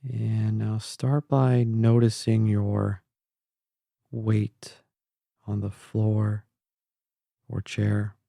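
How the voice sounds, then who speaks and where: Male meditation guide: calm, gentle, mellow tone with some creak, recorded close and dry. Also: fairly low pitch, no echo. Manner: calm, measured, gentle, with long pauses. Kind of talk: slow guided meditation narration.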